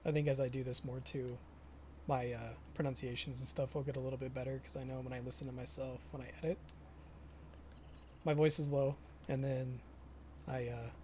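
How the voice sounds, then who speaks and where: Young guy streaming, mellow low-key voice, stops and restarts a lot, bit of background hiss. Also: level tone. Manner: halting, self-correcting, chatty with viewers. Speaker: game streamer. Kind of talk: casual live-stream commentary.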